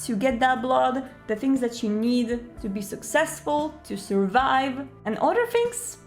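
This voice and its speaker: Female presenter, fairly high voice, explanatory delivery with earnest emphatic pauses, faint music underneath. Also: lively intonation. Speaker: presenter giving roleplaying advice.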